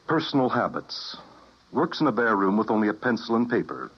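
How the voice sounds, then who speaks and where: A curt security man, deep husky voice, lively inflection. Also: fairly quick, full character voice. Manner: clipped, matter-of-fact, reads dossier flatly. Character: cold, businesslike male security agent. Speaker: security agent. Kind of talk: 1950s radio drama acting. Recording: dull lo-fi old radio recording.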